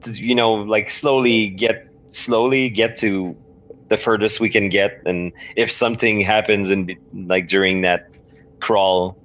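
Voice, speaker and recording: Man, very low pitch, casual game chat, hesitant, thinking aloud. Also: steady tone, unhurried, fairly bright tone, rough recording. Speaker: podcast guest playing a text adventure.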